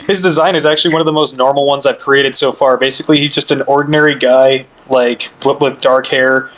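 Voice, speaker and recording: A man's voice: mid-range voice, steady tone, a little fast, a bit bright, poor audio. A man chatting casually on a podcast, laughing often and restarting his sentences.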